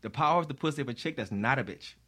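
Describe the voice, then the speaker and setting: A man's voice: medium pitch, animated, fairly bright tone. Crude joking man guest. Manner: crude, joking, drawn-out advice. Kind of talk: casual podcast chat.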